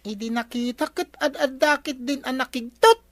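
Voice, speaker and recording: A woman's voice: sits high, moderate pace, no echo, close to an everyday voice. A woman playing an inquisitive, cheeky young grandchild with an Ilocano accent in a radio comedy drama, chatty and questioning. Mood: cheeky, curious.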